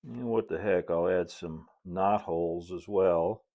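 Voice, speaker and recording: A man, narrating a hands-on hobby tutorial in a relaxed, folksy way, as if thinking aloud while he works. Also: low voice, measured pace. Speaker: hobbyist presenter of model railroad build videos.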